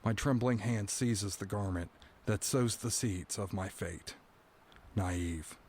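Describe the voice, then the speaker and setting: A man's voice: fairly low pitch, slow pace, darkish tone, a little hiss or hum. An actor playing a dread-filled prisoner awaiting his doom, delivering a brooding first-person monologue in an audio drama. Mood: bitter resignation. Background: background music.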